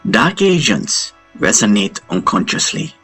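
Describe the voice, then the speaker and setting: A man voicing a solemn female spiritual guide who gives measured warnings in a dream. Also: on the low side, very animated, fairly bright tone, husky, soft background music, exaggerated voice.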